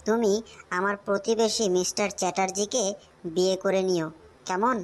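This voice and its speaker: A woman's voice: medium pitch, fairly even intonation, normal speed, lightly acted. A woman voicing an earnest, hapless married man in a comic cartoon skit. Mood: solemn.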